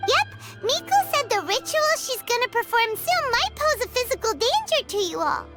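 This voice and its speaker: A tiny fairy sidekick from an anime game, extremely high and squeaky. The delivery is perky and childlike, bouncing up and down in pitch. Loud music plays behind it. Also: female voice, normal speed. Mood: breezy, confident. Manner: excitable, squeaky, cutesy. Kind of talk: scripted anime game voice acting.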